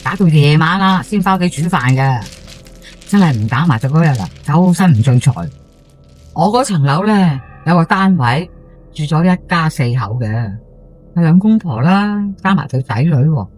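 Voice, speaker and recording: A woman plays a housewife in her sixties from an old housing estate, with a Hong Kong accent and a slightly low voice. She is chatty and superstitious, spinning an audio-drama ghost tale like neighborhood gossip, full of drawn-out particles and exclamations. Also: darkish tone. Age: 60s. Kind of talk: first-person ghost story monologue for audio drama.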